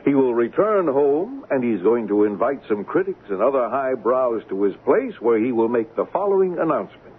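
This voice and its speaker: An actor playing a smooth-talking, persuasive male swindler in a 1970s radio drama. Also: mid-range voice, sing-song, moderate pace, few pauses. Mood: confident, scheming. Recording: tinny band-limited old radio broadcast, noisy.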